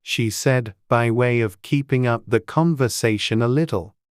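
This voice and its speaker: A woman's voice: rumbling low, normal speed, darkish tone, dry recording, clean studio sound. A woman reading scripted storybook narration as an audiobook narrator.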